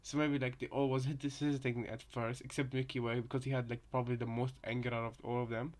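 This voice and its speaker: A man's voice: medium pitch, flat delivery, fast talker, a little mellow. Rambling male reactor, casual commentary. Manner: rambling, repeats and reworks phrases. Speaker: reaction video host.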